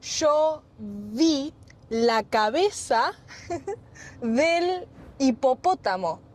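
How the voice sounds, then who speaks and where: A woman with an Argentine accent speaking slowly and clearly to children. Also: high voice, lively intonation. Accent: Argentine Spanish.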